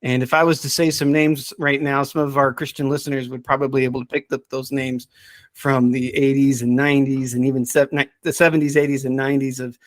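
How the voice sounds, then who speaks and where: A middle-aged man chatting casually as a podcast's subject expert, thinking aloud in a halting way with repeated words. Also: medium pitch, little pitch movement, no room sound. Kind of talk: casual chat.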